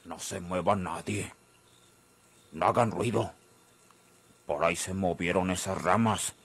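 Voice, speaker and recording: Gravelly, menacing Mexican ranch boss. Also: male voice, low-pitched, unhurried, fairly bright tone, full character voice. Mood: tense, plotting. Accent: rural northern Mexican. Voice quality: gravelly, husky. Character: menacing, suspicious rural Mexican boss on a manhunt. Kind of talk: old Mexican radio serial acting.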